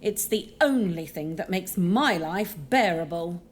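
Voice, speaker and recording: A woman's voice: on the low side, lively intonation, a little slow, slight background noise. A woman playing a vain, snobbish English diva with a grand, disdainful manner in an audio drama.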